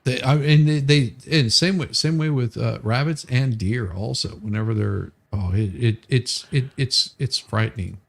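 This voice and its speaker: A man chatting casually as a livestream co-host, telling stories in a halting way with repeated words. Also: mid-range voice, gravelly.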